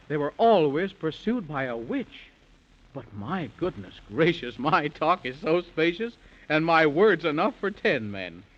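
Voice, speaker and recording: Lively high-voiced male storyteller in rhyme. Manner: theatrical, sing-song. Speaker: narrator. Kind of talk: 1930s radio children's storytelling in rhyming verse. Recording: muffled lo-fi 1930s radio recording.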